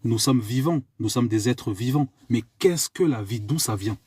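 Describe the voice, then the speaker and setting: A man from France delivers an exhorting sermon, hammering home his point with repeated rhetorical questions. His voice is slightly husky and of middle pitch, recorded dry and close with almost no room sound. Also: moderate pace, darkish tone, no echo. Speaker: preacher. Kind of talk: live online sermon.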